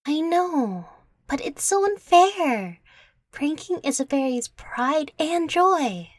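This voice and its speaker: A woman's voice: high-pitched, animated, slow pace, dry recording. A woman voicing a laid-back, mischievous young fairy who talks in casual slang, in an ASMR audio roleplay. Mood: sulky. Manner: slangy, nonchalant.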